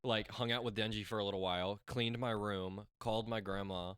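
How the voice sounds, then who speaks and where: Rambling low-voiced young man. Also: steady tone, fairly bright tone, clear, resonant tone, no room sound, clean studio sound. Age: young adult. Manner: rambling, halting storyteller. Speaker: podcast co-host. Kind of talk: casual chat storytelling.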